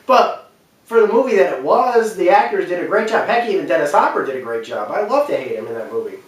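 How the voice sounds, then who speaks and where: A man giving an unscripted opinion talk as a video commentator, speaking emphatically in a halting, repetitive, rambling way. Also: sits high, sing-song. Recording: very echoey room, distant microphone.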